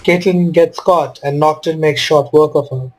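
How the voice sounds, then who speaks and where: A man's voice: a little high, steady tone, normal speed, poor audio. A man giving casual commentary over his own video game match. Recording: noticeable background noise.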